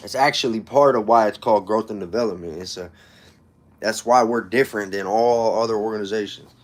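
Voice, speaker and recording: Low-voiced man in his thirties, streaming casually. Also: brisk, a little hiss or hum. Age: 30s. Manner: informal street slang. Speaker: livestream host. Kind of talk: casual livestream chat, personal storytelling.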